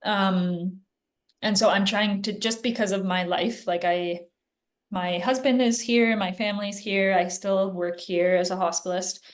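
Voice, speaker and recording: A woman physician answering interview questions in a casual, chatty way, often pausing with "like" and "um", heard over a video call. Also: on the low side. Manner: chatty, filler-heavy, frequent "like" and "um". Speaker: guest physician.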